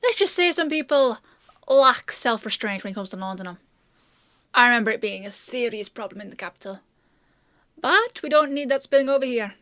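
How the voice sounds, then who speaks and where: A woman's voice: fairly high pitch, lively intonation, a little fast, bright, forceful tone, dry recording. A woman playing a bossy, teasing female apothecary in a fantasy audio roleplay, with a chatty, sardonic, playfully scolding manner. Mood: serious warning.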